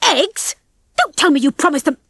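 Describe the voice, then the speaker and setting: Woman doing a fiery, forthright high-pitched hen. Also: measured pace, a bit bright, husky, no echo, clean studio sound, heavily acted. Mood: furious. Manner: indignant, forthright. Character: Ginger, sharp, fiery, determined hen. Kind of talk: acted storybook dialogue.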